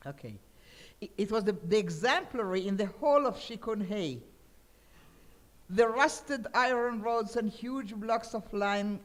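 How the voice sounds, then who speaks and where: A woman in her sixties with a Hungarian accent gives an expressive lecture in a hall, often checking in with her listeners. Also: mid-range voice. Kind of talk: lecture on poetry to a seminar audience. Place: lecture hall with a seated audience. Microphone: table microphone on a stand.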